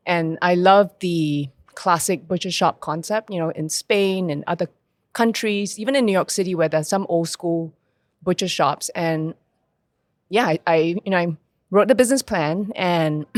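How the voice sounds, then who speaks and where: A woman from Singapore who owns a restaurant, talking in a measured, thoughtful way in a casual podcast conversation. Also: on the low side, dry recording. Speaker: restaurant owner.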